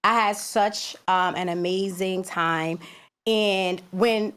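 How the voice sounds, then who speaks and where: A woman in her fifties co-hosting a podcast, chatting casually in an animated, slangy way. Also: medium pitch, slow, clean tone. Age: 50s. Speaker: podcast co-host. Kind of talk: casual chat.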